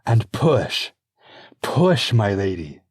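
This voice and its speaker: A man's voice: on the low side, big pitch swings, drawn-out words, breathy edge, no echo, studio quality, voice pushed up, exaggerated voice. A man voicing a female midwife who is firm and coaxing. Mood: commanding. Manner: coaxing, commanding.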